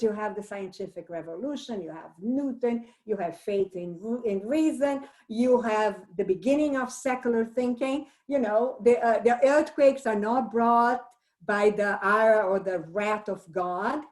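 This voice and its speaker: A woman's voice: fairly high pitch, darkish tone. A woman professor with a Brazilian accent leading a book discussion over a video call, explaining at length with frequent fillers and restarts. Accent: Brazilian Portuguese. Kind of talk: online book discussion lecture.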